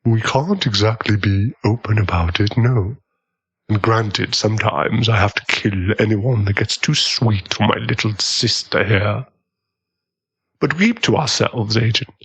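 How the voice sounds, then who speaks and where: A husky young male vampire, acted by a man, pitched low and a little muffled. He is smug and possessive, doting one moment and coolly predatory the next, in an intimate ASMR roleplay style. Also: a little slow, fairly bright tone, heavily acted. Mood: smug menace. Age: young adult. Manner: teasing, coolly menacing. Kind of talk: ASMR boyfriend audio roleplay.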